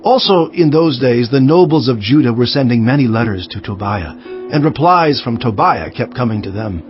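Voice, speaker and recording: A man playing an earnest, resolute ancient governor recounting his memoir in a measured, emphatic dramatized scripture narration. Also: mid-range voice, animated, natural voice. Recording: dull sound with faint music underneath.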